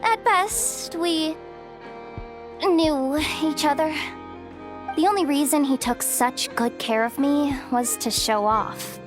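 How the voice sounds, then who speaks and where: A young actress playing a guarded, pragmatic young woman with a soft heart and a wry, casual way of talking in a video game. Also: high voice, slow. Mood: dismissive. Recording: studio voice over game music. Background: background music.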